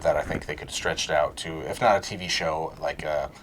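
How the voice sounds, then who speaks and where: A man's voice: rumbling low, a little fast, a bit bright, slight background noise, rough recording. A man co-hosting a casual entertainment discussion, giving his opinions in an informal, loosely phrased way. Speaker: co-host of a video game and movie discussion show. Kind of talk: casual opinionated chat about entertainment news.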